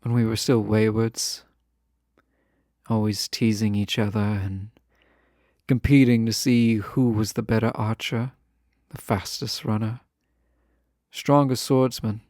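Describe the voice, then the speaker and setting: Slow-spoken, lovestruck fantasy nobleman, played by a man. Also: lowish voice, fairly even intonation, darkish tone, no echo, studio quality. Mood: nostalgic, teasing. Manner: halting, tender, formal old-fashioned phrasing. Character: lovestruck fantasy nobleman, wistful, teasing, formerly held captive. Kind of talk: romantic audio roleplay acting.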